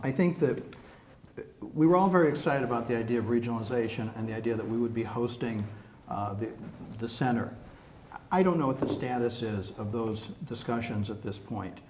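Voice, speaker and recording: An American man giving a measured, somewhat hesitant answer as a council candidate at a forum. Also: medium pitch, darkish tone, a little hiss or hum.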